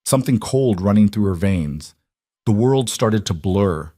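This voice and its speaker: Low-voiced man narrating a military drama. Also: animated, unhurried, warm tone, no room sound, studio quality. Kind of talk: scripted story narration.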